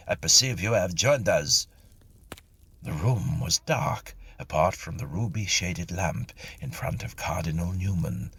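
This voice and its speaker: A man reading a literary story aloud in an expressive, theatrical narrating style. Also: sits low, vocal fry, husky. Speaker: story reader.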